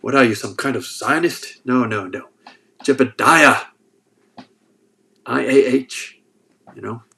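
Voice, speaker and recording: A man's voice: mid-range voice, slow, vocal fry, long pauses, pushed and bright. A man with a sore throat hosting a casual livestream, reading chat and joking as he rambles. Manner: rambling, joking, restarts often.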